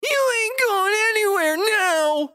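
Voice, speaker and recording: A woman's voice: extremely high pitch, expressive, takes it slowly, bright, forceful tone, no echo, heavily acted. A woman playing a cocky young boy, an older brother, in an audio drama. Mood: angry.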